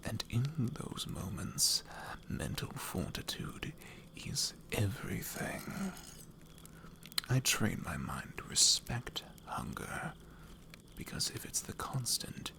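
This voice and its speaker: A cold, hardened killer acted by a man in a fantasy audio roleplay. His deep voice is a menacing, gravelly whisper, slow and deliberate, every phrase weighed out. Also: huge pitch swings, full character voice. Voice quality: breathy, gravelly near-whisper. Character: menacing, hardened male killer who has known starvation.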